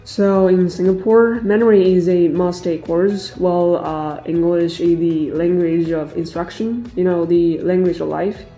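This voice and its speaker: A woman dubbing the voice of a male immigrant client in an interview, speaking calmly with frequent "uh" fillers. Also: fairly low pitch, unhurried, hardly any pauses. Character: middle-aged male immigrant client, calm businessman. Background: faint background music.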